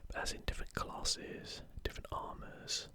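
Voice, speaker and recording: An ASMR host whispering a commentary in a halting, musing, unscripted way.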